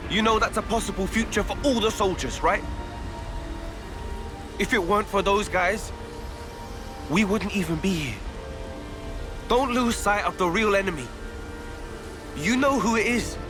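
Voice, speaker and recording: Impassioned British young man, high-voiced. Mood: earnest pleading. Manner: blunt, colloquial, impassioned. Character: earnest, hot-blooded young man pleading for lives. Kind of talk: dramatic video game voice acting. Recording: voice over loud music.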